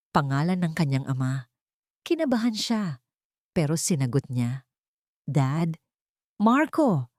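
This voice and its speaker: A woman's voice: sits low, a little mellow, no room sound, clean studio sound. A woman storyteller giving a dramatic scripted narration of a love story.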